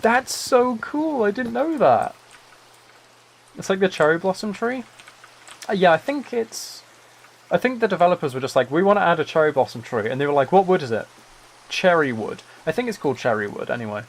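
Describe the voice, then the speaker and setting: Gravelly man musing on a game livestream. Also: sits high, big pitch swings, brisk. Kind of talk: relaxed chat while playing a building game.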